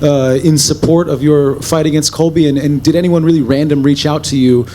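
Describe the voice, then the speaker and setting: A man's voice: fairly high pitch, fairly even intonation, brisk, dark tone, slight background noise. A male reporter asking a long, carefully worded question at a press conference.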